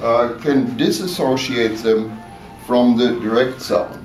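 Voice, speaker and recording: A man giving a measured technical explanation in an interview, checking that he is understood. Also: mid-range voice, a little mellow. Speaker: loudspeaker designer. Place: exhibit room at an audio show. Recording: echoey room, distant mic, music behind.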